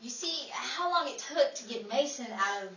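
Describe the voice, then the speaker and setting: A woman acts a perky, quipping pal in a 1930s radio comedy. The voice is high and lively, set in an echoey space with loud noise and crowd sound behind. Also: normal speed. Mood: wry. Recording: very noisy, echoey, distant mic, crowd behind.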